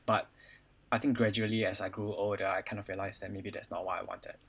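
A man's voice: lowish voice, level tone, fairly quick, creaky, slightly rough. A man in his twenties with a Singaporean accent, talking casually as a podcast guest in a hesitant, filler-heavy way. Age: 20s. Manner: hesitant phrasing with frequent "you know" and "like". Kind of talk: casual personal conversation.

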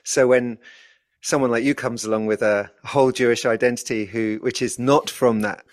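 A man's voice: medium pitch, steady tone, normal speed, dry recording. A man co-hosting a conversation podcast, speaking in a thoughtful, musing way. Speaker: podcast co-host. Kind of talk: casual conversation.

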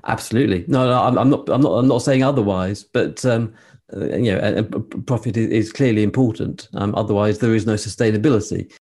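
A man's voice: lowish voice, fast talker, darkish tone, slightly rough. A man interviewing a guest, asking questions with stammered restarts and polite agreement.

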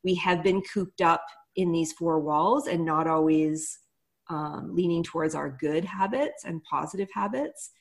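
Casting: female interview host, slightly low register, conversational and encouraging. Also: measured pace.

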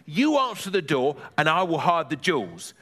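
A man voicing a cheeky, scheming old grandmother in a high, gossipy voice for a storybook reading. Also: big pitch swings, moderate pace, fairly bright tone. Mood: urgent.

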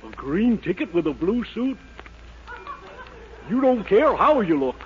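An actor playing a deadpan, unhelpful American ticket clerk in a 1940s radio sitcom. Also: male voice, sits high, measured pace. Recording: muffled, noisy old radio recording.

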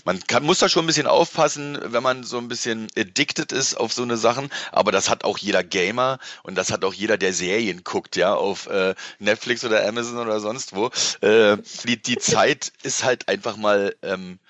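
A man's voice: on the low side, normal speed, few pauses, fairly bright tone, dry recording. A middle-aged man chatting casually as an interview guest, explaining things in a colloquial, rambling way. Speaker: podcast guest, musician and entertainer.

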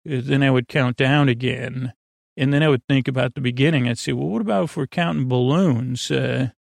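A man's voice: mid-range voice, a little fast, vocal fry, no room sound. A man hosting a bedtime podcast, rambling in a friendly, meandering way, often trailing off and restarting. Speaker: podcast host.

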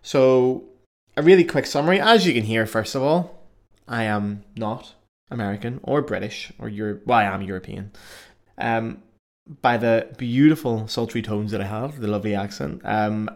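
A man's voice: fairly low pitch, normal speed. A young man with a Belfast accent, hosting a solo podcast in a chatty, self-mocking way with frequent fillers. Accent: Belfast Northern Irish. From Northern Ireland. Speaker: podcast host. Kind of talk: casual solo podcast monologue.

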